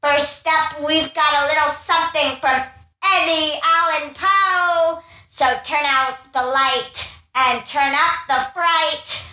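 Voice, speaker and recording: A woman playing a theatrical witch who hosts a spooky radio show for children, with a drawn-out singsong delivery. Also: high-pitched, pushed and bright, full character voice. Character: Gretchen the Witch, theatrical spooky female witch horror host. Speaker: host. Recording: roomy, slightly distant microphone.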